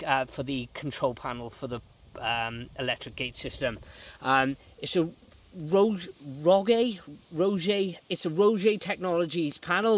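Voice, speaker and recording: A man with a slightly high voice walks through a job as a working technician. He explains things step by step in an informal vlog style, pausing and feeling for words as he goes. He is recorded outdoors on a handheld camera. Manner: explains step by step with halting pauses. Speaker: gate installer and repair technician presenting. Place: outdoors beside an electric gate.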